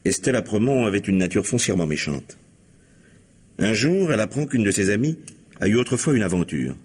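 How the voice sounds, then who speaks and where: An actor playing a shrewd, composed police commissioner in an old French radio mystery, husky and methodical in his phrasing. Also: fairly low pitch, sing-song, brisk, darkish tone. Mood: explanatory.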